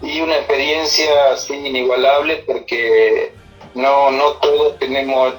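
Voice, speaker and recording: A middle-aged Argentine man speaks over a telephone with music in the background. He is being interviewed and recounts personal memories informally. His delivery is halting, broken by pauses and repeated words, at an ordinary male pitch. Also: steady tone. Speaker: army senior non-commissioned officer being interviewed. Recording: phone call interview with music.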